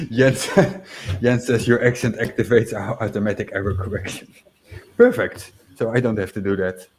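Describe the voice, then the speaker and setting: A man's voice: fairly low pitch, expressive, a little slow, slight background noise. A man with a Dutch accent, a native Dutch speaker, chatting casually on a livestream, reading viewer comments with many fillers, restarts and chuckles. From Netherlands. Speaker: livestream host.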